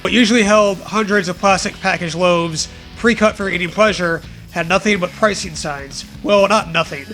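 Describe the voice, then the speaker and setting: A man's voice: high voice, normal speed, hardly any pauses, sharp tone, soft background music. A man with a mocking, profane manner, reading fan fiction aloud for comedy and bantering casually with a co-host.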